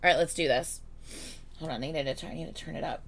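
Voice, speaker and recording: A woman chatting excitedly and casually as host of a reaction video, her voice slightly hoarse and congested, swearing freely. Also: low-pitched, fast talker. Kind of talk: casual reaction vlog chat.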